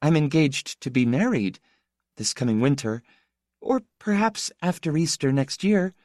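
A man voicing a gentle, hesitant young woman in an audiobook, politely calm, with a thin, sweetly modulated voice. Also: fairly high pitch, a little slow, no room sound, clean studio sound, voice pushed up. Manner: hesitant, soft-spoken. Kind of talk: audiobook character dialogue.

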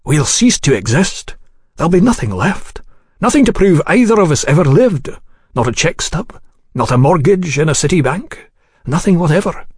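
A man voicing an anxious, defensive English gentleman official in an audiobook, clipped, aggrieved and bitter. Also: on the high side, big pitch swings, moderate pace, breathy edge, no echo, studio quality, voice pushed up. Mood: fearful. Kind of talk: audiobook character dialogue.

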